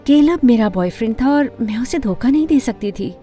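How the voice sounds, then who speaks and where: A woman as a high-voiced, expressive teenage girl storyteller. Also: a little fast, soft background music, close to an everyday voice. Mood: confiding. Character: teenage girl fashion designer, earnest, emotional, lovestruck. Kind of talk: first-person animated story narration and dialogue.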